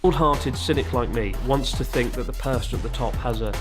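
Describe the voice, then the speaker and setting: A man's voice: mid-range voice, a little fast. A man narrating a scripted video essay in a sardonic manner. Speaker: YouTube commentator. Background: background music.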